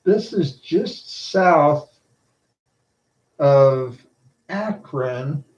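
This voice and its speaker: Male storm spotter streamer, unhurried and halting, casual commentary to viewers, slightly muffled sound. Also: on the high side, lively intonation, a little mellow. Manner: halting, repeats phrases, addresses viewers as guys.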